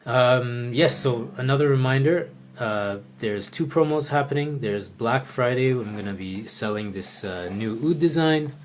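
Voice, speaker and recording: A man teaching the oud in a live online lesson, answering viewer questions in a relaxed, conversational way, thinking aloud with frequent fillers and restarts. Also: medium pitch, unhurried, hardly any pauses, slight background noise.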